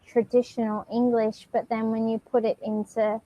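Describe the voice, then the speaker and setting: A woman's voice: on the high side, level tone, normal speed, warm tone. A woman tutoring English over a video call, asking simple, encouraging questions. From Australia. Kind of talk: online language lesson conversation. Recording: muffled video call with faint noise.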